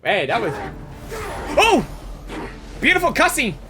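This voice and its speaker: An excitable streamer giving casual live reactions to a game, often repeating phrases. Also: sits high, measured pace, over music. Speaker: gaming streamer.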